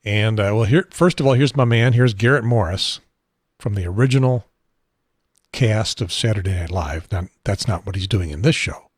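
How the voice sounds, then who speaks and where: Host, male, 70, American. Husky, somewhat low voice, dry close sound, halting unscripted delivery. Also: no room sound. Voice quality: husky, unsteady voice. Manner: rambling, halting, wry. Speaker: talk show host. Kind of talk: casual unscripted solo talk show.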